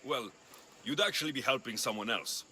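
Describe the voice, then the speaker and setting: An actor playing a blunt, wry mercenary hero with a dry, businesslike manner in a video game. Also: male voice, medium pitch, a little fast, pushed and bright. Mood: persuading. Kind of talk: video game character acting. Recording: game dialogue over music.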